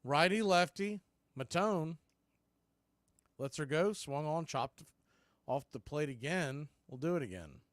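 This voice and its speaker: A man's voice: a little high, expressive, measured pace, pauses often, dry recording, studio quality. A man doing live baseball play-by-play commentary as the show's announcer, in terse, clipped calls.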